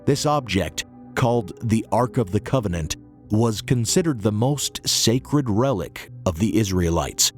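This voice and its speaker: A hoarse man narrating a history podcast in a measured, earnest way. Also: fairly low pitch. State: hoarse, recovering from illness. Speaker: podcast host.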